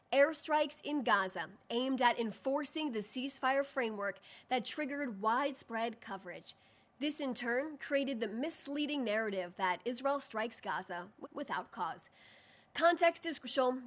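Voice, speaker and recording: A woman speaking as a government spokesperson at a formal press briefing, firm and emphatic, with deliberate phrasing. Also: a little high, fairly bright tone. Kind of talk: formal press briefing, prepared statement and answers to questions.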